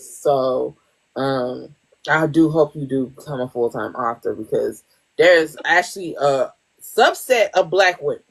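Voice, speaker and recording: A woman's voice: deep voice, low-quality recording. A woman hosting a casual podcast, blunt and playful in her reactions. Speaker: podcast host.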